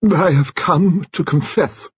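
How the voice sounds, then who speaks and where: A man voicing an imperious, frank, stout elderly English noblewoman, speaking in a grand, emphatic, deliberate way for an audiobook. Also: a little high, husky, no echo, highs cut off, voice pushed up, heavily acted. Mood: imperious, resolute.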